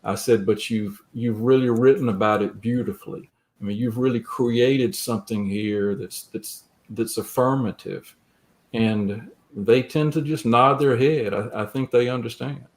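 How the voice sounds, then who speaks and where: Slow, low-pitched man, gentle and earnest. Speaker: guest, creative writing teacher. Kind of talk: reflective interview talk and poem reading.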